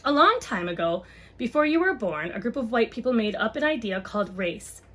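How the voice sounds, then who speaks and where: Higher-pitched woman reading aloud, casually explaining. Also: expressive, a little fast, a bit bright. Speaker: host reading a children's book aloud to parents. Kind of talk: children's book read-aloud with casual asides.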